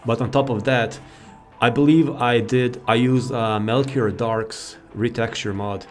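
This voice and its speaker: A man's voice: medium pitch, steady tone, measured pace. Casual male gamer with faint background music. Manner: rambling, informal, easily sidetracked. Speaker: gaming video host. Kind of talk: casual game mod showcase commentary. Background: faint game music.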